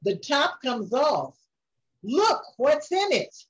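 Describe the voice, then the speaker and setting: An older woman reading a rhyming picture book aloud in a gentle, unhurried way, often chuckling. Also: high-pitched, very animated, low-quality recording.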